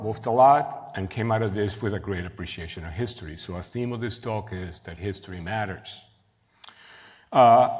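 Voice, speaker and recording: Cuban accent guy giving a lecture, kinda low voice, echoey hall, tells funny stories about himself. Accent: Cuban Spanish. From Cuba. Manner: lively, self-deprecating storytelling. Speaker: guest lecturer. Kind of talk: academic research talk.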